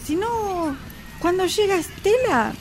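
A woman's voice: extremely high pitch, animated, slow pace, a little hiss or hum, exaggerated voice. A woman with an Argentine accent playing an easygoing character chatting casually in an amateur radio play. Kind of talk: amateur radio drama acting, casual chat.

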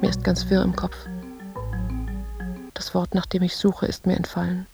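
An actress playing a dazed, haunted woman recounting nightmarish wartime visions in a slow, detached, dreamlike monologue. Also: fairly low pitch, completely flat delivery, vocal fry, over music. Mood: dazed, uneasy. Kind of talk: literary audio drama monologue.

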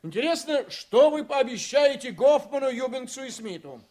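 Blunt, ambitious politician. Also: male voice, extremely high pitch, expressive, normal speed, darkish tone. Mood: indignant, cutting. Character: blunt, ambitious male politician, confident and sardonic. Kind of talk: Russian radio drama acting.

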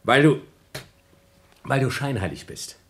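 Impulsiver, spöttischer Astronaut, sprunghafte Tonlage. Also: male voice, medium pitch, big pitch swings, measured pace. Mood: accusing, indignant. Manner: argumentative, sarcastic, swinging from muttering to exclaiming. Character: impulsive, mocking astronaut quarrelling with his distrusted crewmate. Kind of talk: German radio play acting.

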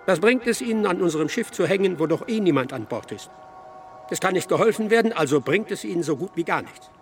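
A man's voice: high-pitched, expressive, fast talker, soft background music. A man acting in a German radio drama as an anxious, self-serving, fussy delegate who talks urgently. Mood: urgent, persuasive.

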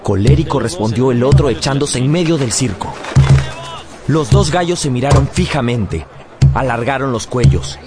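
An actor narrating a radio drama as a man solemnly recounting a boyhood memory in measured, literary phrasing. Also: medium pitch, expressive, natural voice. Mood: solemn, suspenseful. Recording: loud music bed behind studio voice.

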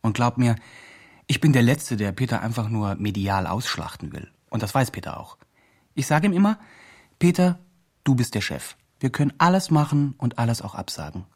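Earnest online charity promoter, radio drama. Lively swings in pitch, slightly rough voice, emphatic and self-justifying. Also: male voice, normal speed. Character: earnest male promoter presenting a homeless man's online campaign. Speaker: presenter of an online fundraising campaign. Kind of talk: scripted radio drama, mock video-blog narration.

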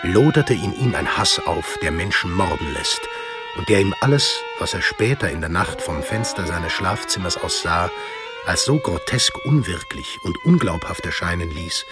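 A man with a deep, animated voice reads literary narration for a radio drama. His delivery moves widely in pitch, with music playing clearly behind him. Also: normal speed.

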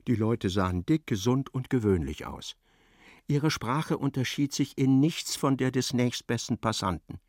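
A man narrating a literary radio play in a measured, dryly ironic way. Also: mid-range voice, animated, a little mellow, no room sound, studio quality.

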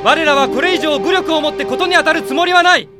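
An actor playing a noble young prince, proclaiming formally in a raised voice in a radio drama. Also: male voice, extremely high pitch, fast, loud music behind the voice. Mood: formal proclamation.

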